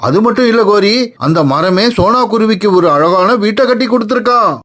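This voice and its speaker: A man's voice: high-pitched, fairly quick, fairly bright tone. A man voicing a scheming, greedy male crow in a cartoon, blustering and demanding. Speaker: cartoon voice actor. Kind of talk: animated cartoon voice acting.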